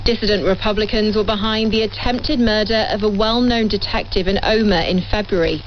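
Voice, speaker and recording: A woman's voice: medium pitch, steady tone, fairly quick. A woman reporter narrating a scripted news package. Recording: muffled, lightly noisy shortwave radio relay.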